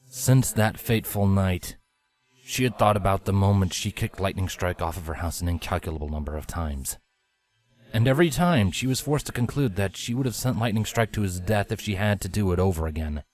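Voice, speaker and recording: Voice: low-pitched, normal speed, darkish tone, soft background music. A narrator reading scripted fiction aloud as storytelling. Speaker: narrator, fanfiction reader.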